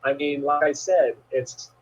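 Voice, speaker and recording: A man with a husky, slightly rough voice hosts a casual conversation, laid-back and agreeable, leaning on fillers. The audio is dull and lo-fi, like a call recording. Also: fairly high pitch, fairly even intonation, a little slow. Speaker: host.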